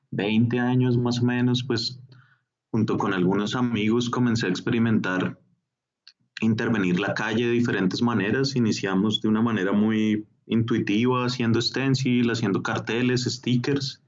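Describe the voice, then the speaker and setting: A man from Colombia with a Bogotá accent, answering interview questions in a relaxed, hesitant way. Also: fairly low pitch, measured pace, dull audio. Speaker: guest artist.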